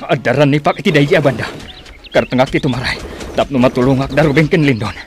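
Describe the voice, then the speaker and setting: An actor playing a worried, determined uncle rushing to a rescue in a radio drama. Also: male voice, medium pitch, music in the background, lightly acted. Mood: anxious, determined.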